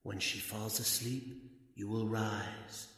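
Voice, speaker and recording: An actor playing a sinister cult leader in a 1940s-style radio drama, commanding and falsely courteous as he hypnotizes with deliberate speech. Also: lowish voice, steady tone, a bit bright, in a large hall. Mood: commanding, menacing.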